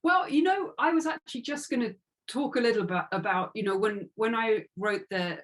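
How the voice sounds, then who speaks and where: A woman's voice: on the high side, normal speed. A woman giving a reflective interview as an author, speaking earnestly and tentatively and circling around her phrases.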